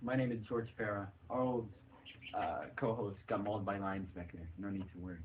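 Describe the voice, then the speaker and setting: A man playing a stand-in tour host, halting as he recites a script in an amateur skit. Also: on the low side, steady tone, close to an everyday voice. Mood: presenting. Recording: phone-like, noisy location sound.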